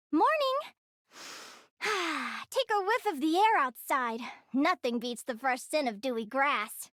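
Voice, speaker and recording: A woman voicing a cheerful, curious young female changeling adventurer in a video game, with an expressive, chatty delivery. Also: high-pitched, no echo, clean studio sound. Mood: bright, eager. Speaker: video game character voice actor. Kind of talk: game character voicelines.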